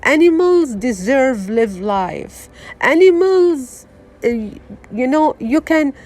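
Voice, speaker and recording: A middle-aged woman from Syria with a Syrian Arabic accent, giving an unscripted motivational talk, earnest and halting, full of fillers and restarts. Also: high voice, animated, darkish tone. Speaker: wellness vlogger.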